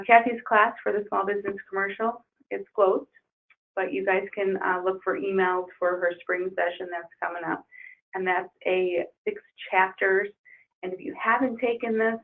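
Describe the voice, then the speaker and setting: A woman trainer losing her voice, leading an upbeat software webinar walkthrough. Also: lowish voice. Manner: upbeat, enthusiastic promoting. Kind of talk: webinar screen-share walkthrough. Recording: tinny phone-like webinar audio.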